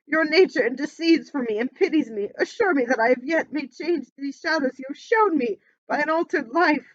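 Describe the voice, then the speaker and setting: Woman doing a terrified old miser, high and loud, begging; dull dry reading. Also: brisk, no room sound, close to an everyday voice. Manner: desperate, shrill, cries out. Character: frightened pleading old miser, male. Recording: dull lo-fi audio.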